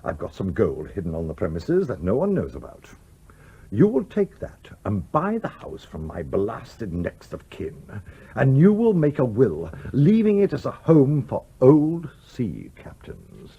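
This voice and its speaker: An actor in a radio play voicing the blustering, bossy ghost of a sea captain, with old-fashioned oaths and an exasperated, commanding manner. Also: male voice, medium pitch, huge pitch swings, measured pace, husky, narrow, tinny audio, heavily acted. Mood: brisk, commanding.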